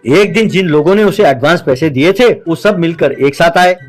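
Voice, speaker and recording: A man's voice: fairly high pitch, normal speed, faint music behind. A man narrating a scripted moral story for a cartoon.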